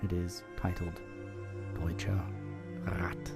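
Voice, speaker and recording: A man hosting his own podcast, speaking in a thoughtful, reflective monologue and sometimes pausing to search for words. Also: low voice, completely flat delivery, over loud music. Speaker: podcast host.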